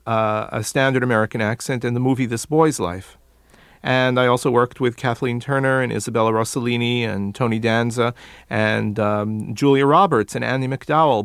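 A man's voice: medium pitch, vocal fry, no echo. A middle-aged man from New York explains accents as a coach in a radio interview. He repeats words over and over in contrasting pronunciations. His mid-range voice sounds dry and close.

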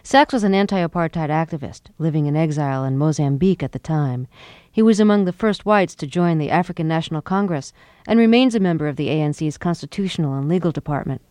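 Woman, radio host, measured, scripted intro, dry studio sound. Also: sits low, lively intonation, mellow, no echo.

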